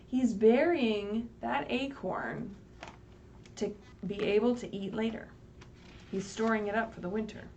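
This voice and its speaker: A woman's voice: fairly high pitch, a little slow, long pauses, a little mellow. A woman leading a children's story time, reading aloud in a sing-song way and patiently explaining in simple words with frequent questions to the listeners. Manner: sing-song, asks questions and checks in with "right?". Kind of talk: read-aloud picture book story time for young children. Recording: distant mic, faint background noise, livestream.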